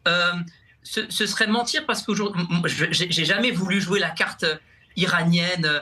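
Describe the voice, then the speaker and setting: A man's voice: high-pitched, bright, forceful tone. A young man, a comedian guest on a TV talk show, speaking casually with many restarts and repeated words. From France. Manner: halting, repeats words, laughs often. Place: TV studio.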